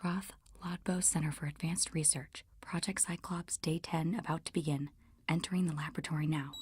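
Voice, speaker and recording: An actress playing an earnest, uneasy research scientist in an audio drama. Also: female voice, deep voice, fairly even intonation, fairly quick, slight background noise, lightly acted. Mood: hushed.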